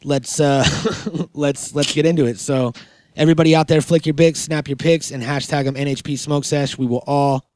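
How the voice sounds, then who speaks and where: A man's voice: a little high, fairly even intonation, moderate pace, darkish tone, slight background noise. A man hosting a podcast in casual banter, with a laid-back, slangy and profane way of talking. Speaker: podcast host. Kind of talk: casual banter between co-hosts.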